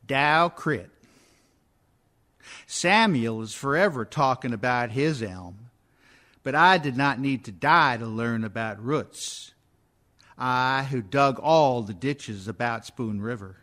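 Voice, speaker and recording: A man's voice: mid-range voice, animated, slow, bright, forceful tone. A man playing a plain-spoken, disgruntled laborer, blunt and argumentative, in a dramatic radio verse monologue. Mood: scornful. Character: plain-spoken, disgruntled dead ditch digger.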